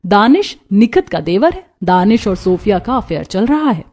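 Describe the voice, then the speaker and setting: A woman's voice: medium pitch, sing-song, normal speed, a little mellow, husky. A woman narrating a scripted cartoon story.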